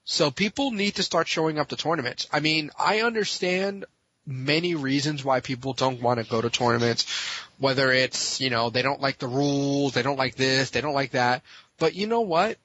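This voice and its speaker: A man's voice: mid-range voice, normal speed, fairly bright tone, dull audio, a little hiss or hum. A man talking casually and passionately as a podcast guest, blunt and emphatic, urging listeners on. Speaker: podcast guest, gaming tournament organizer. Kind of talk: impassioned casual opinion talk.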